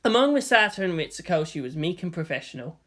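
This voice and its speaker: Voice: mid-range voice, very animated, fast, a bit bright. A narrator reading fan fiction aloud as scripted storytelling.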